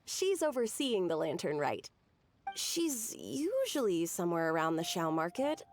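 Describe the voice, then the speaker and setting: A woman's voice: on the low side, wildly swooping pitch, unhurried, background noise, full character voice. A woman playing a friendly, informative festival organiser in a fantasy video game, explaining things courteously. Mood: helpful.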